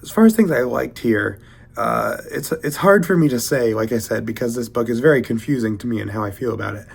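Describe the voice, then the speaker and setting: A man's voice: mid-range voice, fairly quick, vocal fry, husky. A man getting over a cold, giving a casual spoken book review in a hesitant, rambling way as he searches for words. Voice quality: slightly stuffy voice.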